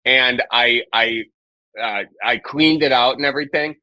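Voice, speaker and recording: A man hosting a podcast, talking in animated, halting bursts with lots of restarts and joking asides. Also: mid-range voice, dry recording, poor audio. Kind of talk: casual conversation.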